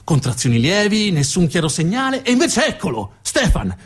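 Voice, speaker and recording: A man narrating a theatrical monologue as a stage actor, dramatic, with emphatic repetitions and deliberate pauses. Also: high-pitched, big pitch swings, slightly rough. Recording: music behind the voice.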